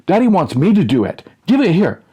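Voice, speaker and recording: Grown man as small child, high and shrill, eager, bossy, insistent bickering, comic audiobook. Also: very animated, brisk, warm tone, husky, full character voice. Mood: eager, squabbling.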